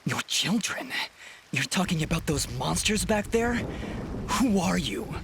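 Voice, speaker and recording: A man's voice: high voice, lively intonation, measured pace, vocal fry, noisy background, full character voice. A man voicing an earnest, resolute young swordsman hero in a video game, protective and determined. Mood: indignant. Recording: music behind the voice.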